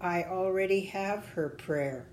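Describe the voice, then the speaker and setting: A gentle, mysterious God from a storybook, as a woman reads it. The voice is low, very slow and tender, reassuring, with slight background noise.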